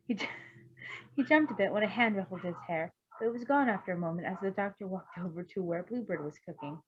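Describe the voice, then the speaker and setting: A woman's voice: mid-range voice, darkish tone, dull audio. A woman reading fan fiction aloud as an amateur narrator, expressively and informally. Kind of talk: expressive story narration with casual asides.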